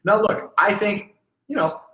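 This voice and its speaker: A man's voice: high-pitched, a little slow, echoey room, a crowd in the background. A man hosting a live podcast, rambling and often restarting his sentences. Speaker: podcast host.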